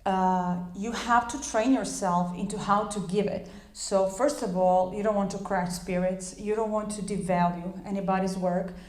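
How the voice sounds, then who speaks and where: A woman whose first language is Croatian talks through an English lesson in a loose, chatty way, often restarting her sentences and leaning on fillers. Her voice sits at a middle pitch. The room around her gives a noticeable echo. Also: steady tone, moderate pace, few pauses. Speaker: English teacher. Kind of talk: informal spoken lesson.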